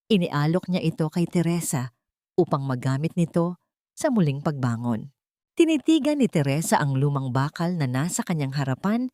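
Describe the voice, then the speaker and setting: A woman narrating a scripted dramatic story in a measured, solemn, expressive manner. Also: fairly low pitch, no echo, clean studio sound.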